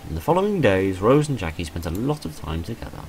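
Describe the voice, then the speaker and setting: A man reading the storybook narration of a gentle visual novel. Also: low-pitched, very animated, quick pace, a little hiss or hum. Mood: gentle storytelling.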